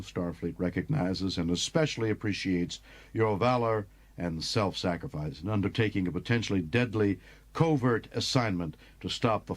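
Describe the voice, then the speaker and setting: A man playing a formal, authoritative admiral briefing officers in a science-fiction audiobook. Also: low-pitched. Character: authoritative, formal male admiral, smooth then stern.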